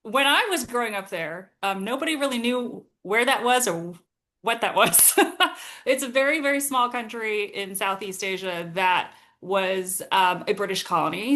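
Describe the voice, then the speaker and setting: A woman's voice: medium pitch, a little slow. A woman in her forties, from Singapore, with a General American accent, speaking as an interview guest in a reflective, conversational way with frequent laughs. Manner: warm, reflective, laughs often, some hesitations. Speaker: guest, consultancy founder.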